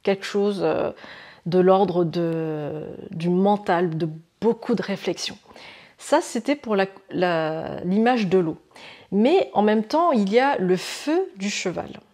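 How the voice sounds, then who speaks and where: A French woman talks casually to her viewers about the month ahead, at a mid-range pitch. She often pauses on fillers and restarts her sentences, then reaches for lively images to make her point. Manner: hesitant, many fillers and restarts, expressive images. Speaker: astrology channel host. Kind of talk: casual explanatory monologue to camera.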